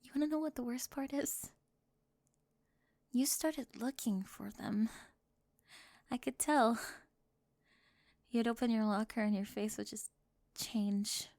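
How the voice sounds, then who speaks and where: Woman playing teenage cheerleader bully, higher-pitched, flustered, defensive, secretly smitten, nervous halting delivery, ASMR roleplay, very dry sound. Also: fairly even intonation, darkish tone, no echo. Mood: vulnerable, regretful. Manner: halting, self-interrupting, nervous laughs, drops into whispers.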